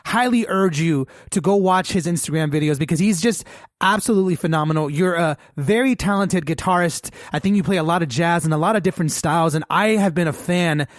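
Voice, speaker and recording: A man's voice: on the high side, fairly quick, no echo, studio quality. A man hosting a podcast, interviewing casually in an effusive, informal way. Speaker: podcast host.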